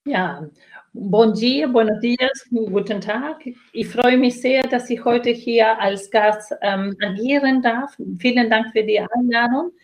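A woman's voice: on the high side, slow pace, poor audio. The invited guest on an educational talk show, a middle-aged woman with a Latin American accent, earnestly setting out what she believes in. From Chile and Brazil. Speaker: interview guest, trainer and coach. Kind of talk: reflective interview answer about own life and work.

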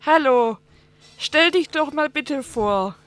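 A woman's voice: high voice, animated, slow pace, no room sound. A woman presenting a casual radio interview, asking short, direct questions.

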